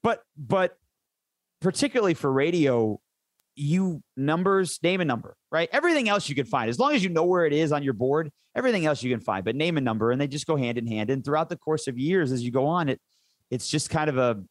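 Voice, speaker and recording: A man's voice: a little high, animated, brisk, no room sound. A man who works as a sports play-by-play announcer, chatting casually and enthusiastically in an interview, rambling into detail.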